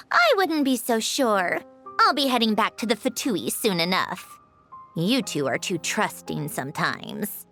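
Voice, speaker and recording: A woman's voice: medium pitch, big pitch swings, a little slow, a bit bright, faint music behind. A woman voicing an aloof, sardonic female scientist in a video game, with a dry, condescending, lecturing manner. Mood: wry.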